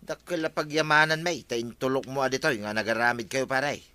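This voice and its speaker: Radio comedy villager, male actor as obstinate grumbling farmer, very sharp-edged delivery, dry sound. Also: mid-range voice, fairly quick, clean tone, dry recording, lightly acted. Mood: easygoing. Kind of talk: Ilocano radio comedy drama acting.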